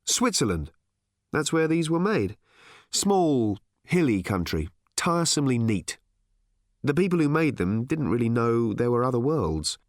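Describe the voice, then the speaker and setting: A man's voice: mid-range voice, sing-song, measured pace, no room sound, clean studio sound. Guy doing a awkward nice dad, kinda baffled and stammery, up and down voice, audiobook. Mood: explaining mildly. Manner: hesitant, stammering, mild. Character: bewildered, well-meaning, awkward father of a teenage girl.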